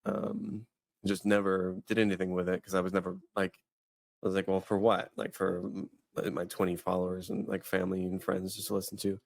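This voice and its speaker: A man's voice: low voice, level tone, fairly quick, creaky, dry recording. A man in his thirties co-hosting a faith podcast, chatting casually and rambling with many restarts and fillers. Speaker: podcast host. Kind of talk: casual chat.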